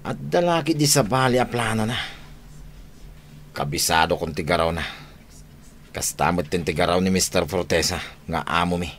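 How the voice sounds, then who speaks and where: A low male voice with slight background noise, the watchful right-hand man of powerful bosses. He speaks in the dramatic manner of an Ilocano radio serial, loyal to those he serves. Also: lively intonation, normal speed, pauses often, fairly bright tone. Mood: brooding.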